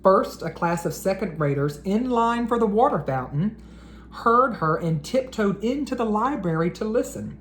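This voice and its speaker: A woman reading a children's picture book aloud in an expressive, unhurried storyteller's voice. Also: mid-range voice, roomy sound, slight background noise.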